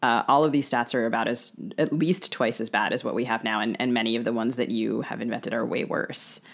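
A woman's voice: very deep voice, fairly even intonation, brisk, vocal fry. Woman host posing considered interview questions. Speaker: podcast co-host.

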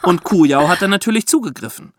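A man hosting a podcast, explaining true crime history as lively, conversational storytelling. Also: high voice, fast, no room sound, studio quality.

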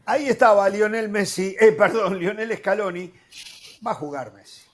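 A man hosting a sports talk panel, animated and leaning on "eh" fillers as he leads a heated debate. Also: high-pitched, moderate pace. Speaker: sports talk show host.

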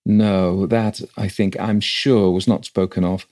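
A man's voice: sits low, steady tone, measured pace, darkish tone. A man voicing an educated, slightly impatient country clergyman with a formal, authoritative manner in a ghost story read aloud. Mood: impatient, businesslike.

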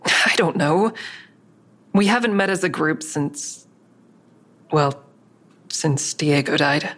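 Anxious, low-voiced woman on the defensive. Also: slow, fairly bright tone, heavily acted. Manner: guarded, earnest, defensive. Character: anxious, defensive woman under interrogation, narrating her own story. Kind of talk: audio drama acting with first-person narration.

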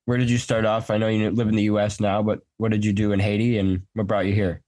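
A man's voice: fairly low pitch, level tone, quick pace, darkish tone. A man hosting a podcast interview, talking loosely and conversationally with fillers. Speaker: podcast host.

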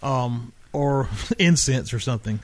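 A man's voice: mid-range voice, measured pace, no room sound. A man co-hosting a podcast in casual banter with friends, with a teasing, argumentative way of talking. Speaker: podcast co-host.

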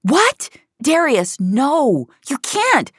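A woman's voice: sits high, huge pitch swings, extremely slow, no echo, clean studio sound, pitched above the performer's own voice, exaggerated voice. A woman playing a tough, desperate agent on the run in an audio drama, urgent and defiant. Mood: urgent, pleading. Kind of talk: dramatic audio fiction acting.

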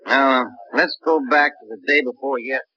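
An actor playing an easygoing American police sergeant in a 1950s radio drama, conversational and folksy, on an old dull recording. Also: male voice, medium pitch, brisk, bright, forceful tone.

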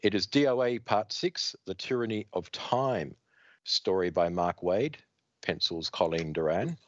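A deep-voiced man recapping a comic book on a podcast, his pitch swinging widely as he narrates. He reads expressively. The recording is dry and slightly dull. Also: no room sound. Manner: expressive reading, acts out dialogue. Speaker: podcast host.

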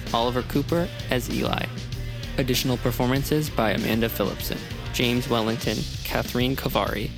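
A male announcer reads scripted credits in a medium-pitched, gravelly voice. Music plays clearly underneath him.

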